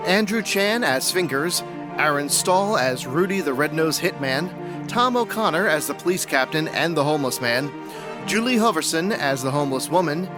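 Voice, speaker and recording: A man reading scripted credits as an announcer. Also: fairly high pitch. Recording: music behind the voice.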